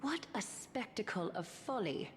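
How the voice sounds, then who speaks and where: A woman voicing a poised, composed noble leader in a video game, with a measured, elegant, dry delivery. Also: mid-range voice, lively intonation, a little hiss or hum, natural voice. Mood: calm.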